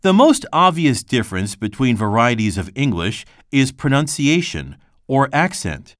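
Man, textbook reading, slow and careful, lively pitch, dry sound. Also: no echo. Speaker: textbook reader.

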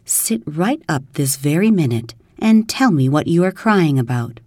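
A woman's voice: low-pitched, big pitch swings, darkish tone, no echo, clean studio sound, full character voice. A woman doing a stern scolding older spinster. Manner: brisk, scolding and exasperated.

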